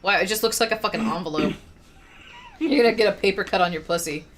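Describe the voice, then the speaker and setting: A woman's voice: medium pitch, big pitch swings, quick pace, loud music behind the voice. A young woman co-hosting a casual riff on old commercials, blunt and making crude jokes. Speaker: co-host riffing on old commercials. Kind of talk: casual group riffing.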